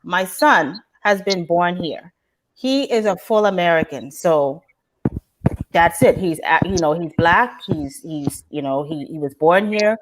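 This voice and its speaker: A woman who grew up in Canada talks as a panelist on a live show. Her voice sits on the low side, and she explains things in a reflective, conversational way. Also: lively intonation, unhurried, noisy background.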